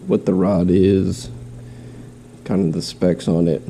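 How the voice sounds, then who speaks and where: Male vlogger, low gravelly voice, dark tone, nasal from a cold, rambling and casual. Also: little pitch movement, takes it slowly, slight background noise. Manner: rambling, with restarts. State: stuffy nose, slight cough. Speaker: fishing vlogger. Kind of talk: casual show-and-tell. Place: home, in quarantine.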